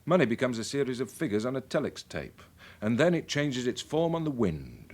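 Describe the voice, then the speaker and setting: An actor playing a worldly, middle-aged British police chief in a radio drama, measured and wry as he explains things. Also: medium pitch, big pitch swings, natural voice. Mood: measured, emphatic. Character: worldly, wry senior police chief briefing his sergeant.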